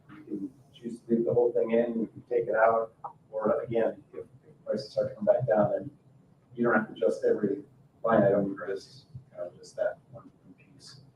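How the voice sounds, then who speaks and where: A man with a mid-pitched voice gives an informal briefing to a public board. His phrasing is halting and hesitant. He sounds distant from the microphone, in an echoey room with faint noise. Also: a little mellow. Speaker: consultant presenting to a board. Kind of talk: informal presentation at a public meeting. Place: indoor town board meeting room.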